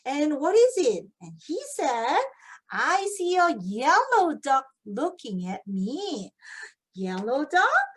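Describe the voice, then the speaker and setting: High-pitched Korean-accented woman reading aloud. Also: slow pace. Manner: expressive, asks prompting questions as if to children. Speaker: English teacher, children's book reader. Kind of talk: picture book read-aloud demonstration with tips.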